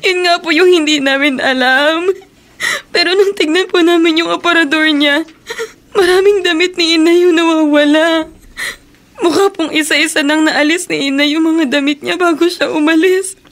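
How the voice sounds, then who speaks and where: An actress playing a tearful, plaintive young child with a Filipino accent in a radio drama. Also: female voice, very high-pitched, fairly even intonation, normal speed, fairly bright tone. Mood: tearful, worried. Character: tearful young child reporting missing mother to grandmother.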